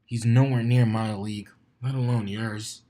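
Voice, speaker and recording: A man's voice: lowish voice, fairly even intonation, normal speed, dark tone, natural voice. A man voicing a cocky young male pegasus stunt flyer from a story, with a casual, teasing manner. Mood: casual, coaxing.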